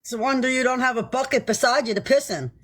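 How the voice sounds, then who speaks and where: Crude, teasing woman with a high voice. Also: quick pace. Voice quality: crude, teasing, laughs often. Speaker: livestreamer. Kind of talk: casual livestream chat.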